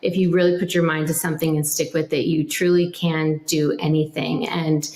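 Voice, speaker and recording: A woman with a fairly low voice speaks in a clearly echoing room. She gives conversational interview answers as a parent, sounding earnest and reflective throughout. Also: little pitch movement, normal speed, darkish tone.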